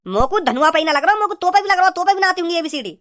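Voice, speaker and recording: Actor as stern cartoon schoolmaster, high-pitched, lecturing, sarcastic, rural North Indian Hindi accent. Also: expressive, racing, fairly bright tone. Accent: rural North Indian Hindi dialect. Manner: scolding, lecturing. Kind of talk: comic cartoon dialogue.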